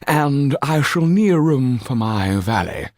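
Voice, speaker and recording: A man's voice: medium pitch, lively intonation, a little slow, darkish tone, no room sound, clean studio sound, voice pushed up, natural voice. A man voicing an imperious, deluded French marquis in an audiobook, haughty in manner.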